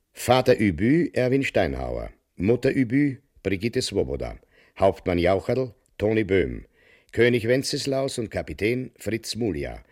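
A man's voice: on the low side, darkish tone, dry recording, clean studio sound. A man reading scripted credits as a radio announcer. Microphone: close studio microphone.

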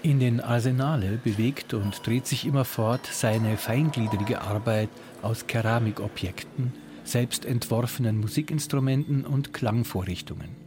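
A man reporting as a culture journalist, reading a scripted radio feature in a measured, descriptive way. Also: mid-range voice.

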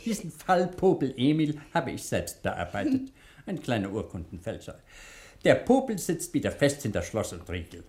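A man's voice: mid-range voice, huge pitch swings, moderate pace, darkish tone. A man playing a self-assured older judge and soothing uncle in an old-fashioned radio drama. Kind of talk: 1950s-style German radio drama acting.

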